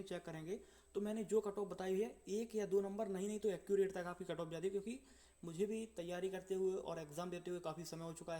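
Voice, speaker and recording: एक युवा पुरुष, परीक्षा तैयारी चैनल का होस्ट, दर्शकों से अनौपचारिक अंदाज़ में बात करते हुए, रुक-रुक कर और अंकों को दोहराते हुए समझाता है।. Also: male voice, high voice, dark tone. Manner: explanatory, repeats numbers, frequent fillers. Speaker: exam-prep channel host. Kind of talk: casual talk to viewers.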